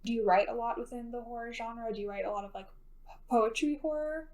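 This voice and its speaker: A woman with a slightly high voice works as a podcast interviewer. She puts her questions casually and inquisitively, phrasing them loosely as in everyday conversation. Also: fairly quick, a little mellow. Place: recording studio.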